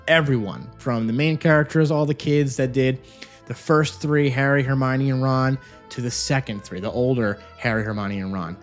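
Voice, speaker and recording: A man giving an enthusiastic, casual review as a vlog host, talking into a microphone in a home office. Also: medium pitch. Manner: enthusiastic, gushing. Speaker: audiobook review video host. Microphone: separate microphone with phone camera. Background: music.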